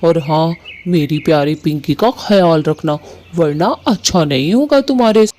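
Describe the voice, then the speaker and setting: A man voicing Kalu, a harsh, bossy male bird husband in a cartoon who scolds loudly. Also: on the high side, animated, unhurried. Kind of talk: Hindi cartoon voice acting.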